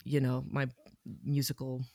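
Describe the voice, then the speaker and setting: A woman in her thirties, a musician guest on a podcast, talking in a laid-back way with soft laughs and many ums. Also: rumbling low, monotone, unhurried, no echo, clean studio sound. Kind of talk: casual interview chat.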